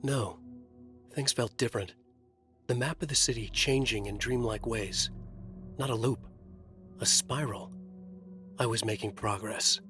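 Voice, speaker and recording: A man's voice: lowish voice, a bit bright, lightly acted. Actor as a brooding, haunted male writer, music behind. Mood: uneasy, brooding. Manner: terse, brooding, dramatic. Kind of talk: scripted noir-style game narration.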